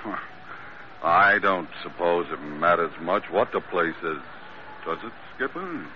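A man's voice: deep voice, expressive, unhurried. An actor playing a scrappy, suspicious American sailor, a captain's sidekick in a radio adventure, talking in slangy tough-guy style. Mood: wary. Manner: slangy tough-guy talk, swinging from muttering to barking. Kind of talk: old-time radio adventure drama acting. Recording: muffled, faintly noisy old radio recording.

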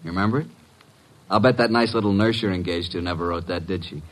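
A breezy American detective's legman from classic radio drama. His voice sits fairly low. He tosses out lines with flippant, wry ease, cocky and a little flirtatious. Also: fast talker, a bit bright. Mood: cocky, teasing. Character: breezy, wisecracking, flirtatious male detective's assistant. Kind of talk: old-time radio detective drama acting.

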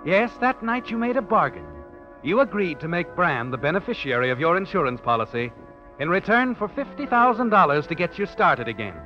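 An actor playing an ominous, all-knowing narrator in a 1940s radio suspense drama, addressing the character directly with insinuation. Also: male voice, sits high, sing-song, fairly quick. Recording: dull vintage radio recording, music behind.

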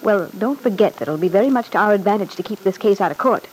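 An edgy young heiress of old radio melodrama, acted by a woman, with a mid-pitched voice. She sounds nervous and pleading, hesitating like someone with a secret. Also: lively intonation, fast, lightly acted, poor audio. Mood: urgent, cautioning. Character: anxious, edgy wealthy daughter with something to hide. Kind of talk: classic radio soap opera acting.

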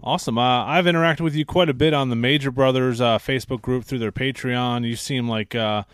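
A young man hosts a casual interview, asking long, friendly questions padded with "uh" and "like". His voice is mid-pitched and sounds dry and close, with no room echo. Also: fairly even intonation, a little fast, fairly bright tone, clear, resonant tone. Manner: friendly, rambling questions with many fillers. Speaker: podcast host.

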